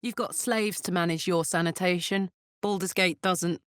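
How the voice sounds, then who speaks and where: An actress voicing a blunt, battle-hardened but warm-hearted woman warrior in a video game. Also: lowish voice, fairly even intonation, normal speed, clear, resonant tone, no room sound, studio quality, close to an everyday voice. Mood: matter-of-fact. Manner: blunt, swearing, swinging between playful and intense. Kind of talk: video game character voice acting.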